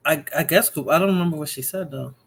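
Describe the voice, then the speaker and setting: A woman's voice: sits low, fast talker, breathy edge. Woman, Bronx accent, livestream host, chatty, repeats herself. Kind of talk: casual livestream chat.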